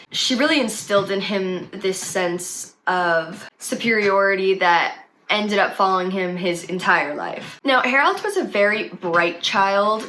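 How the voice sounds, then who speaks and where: A woman's voice: medium pitch, a bit bright, a little hiss or hum. Sniffly young woman telling true crime. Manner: chatty, conversational with fillers. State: has a cold, sniffly. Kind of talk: casual true crime storytelling.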